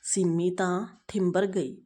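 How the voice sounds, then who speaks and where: A woman's voice: lowish voice, flat delivery, a little slow, warm tone. A woman narrating a moral family story as a storyteller.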